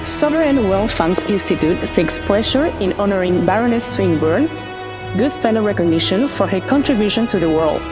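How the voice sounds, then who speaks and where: An announcer reading a scripted award citation in a formal, even way. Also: medium pitch, moderate pace. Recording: announcement over clear music.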